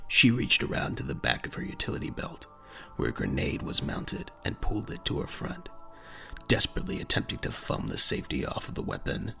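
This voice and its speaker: A man with a gravelly voice narrating a dramatic audio drama in a grave, measured storytelling manner. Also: pushed and bright. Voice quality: very deep, gravelly. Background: faint music.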